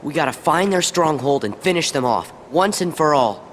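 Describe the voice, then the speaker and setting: A man's voice: a little high, lively intonation, moderate pace, a bit bright. An actor playing an earnest, determined teenage boy hero in a video game, eager and plain-spoken. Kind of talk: video game cutscene acting. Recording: reverberant, with ambient noise behind.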